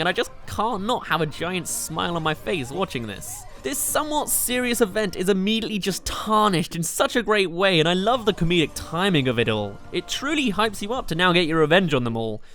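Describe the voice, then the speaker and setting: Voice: mid-range voice, very animated, fairly quick, few pauses, fairly bright tone. An enthusiastic, chatty reviewer, narrating a scripted video game countdown. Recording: music behind the voice.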